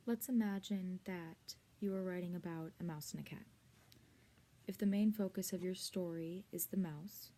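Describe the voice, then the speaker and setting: A woman presenting a scripted lesson in a measured, explanatory way, speaking directly to the viewer. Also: on the low side, dark tone. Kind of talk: scripted instructional narration.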